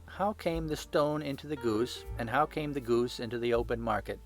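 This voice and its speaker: A man playing a confident gentleman detective in an audiobook, speaking with brisk authority and dry wit. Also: mid-range voice, faint music behind, natural voice. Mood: stern. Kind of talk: audiobook character acting.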